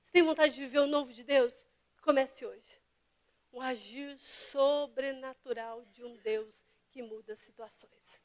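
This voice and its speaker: A woman pastor delivering a fervent sermon to her church, dropping to hushed whispers and rising to impassioned cries. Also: high voice, a little slow. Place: church service.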